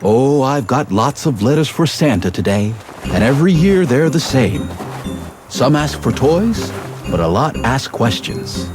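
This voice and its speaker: A friendly, folksy fellow speaks with lively intonation. It sounds like storybook game dialogue, with music clearly behind him. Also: male voice, medium pitch, measured pace. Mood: cheerful. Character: friendly, folksy male storybook narrator and young hero.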